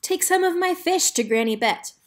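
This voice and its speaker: Woman playing a folksy, high-pitched pond fisherman. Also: expressive, normal speed. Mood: helpful.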